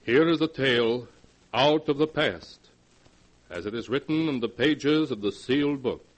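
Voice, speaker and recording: Slow, ominous male radio horror narrator. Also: medium pitch, lively intonation, long reverb tail, a little hiss or hum, rough recording. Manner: solemn, theatrical, ominous, drawn-out emphasis. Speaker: announcer and narrator.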